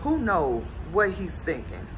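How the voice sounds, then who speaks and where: Picture a storybook reef fish, a nervous little male with a jagged fin, muttering fretful accusations, as a woman reading aloud puts him on. His voice sits fairly low and steady, read at a gentle storytelling pace. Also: unhurried, noisy background. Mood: suspicious.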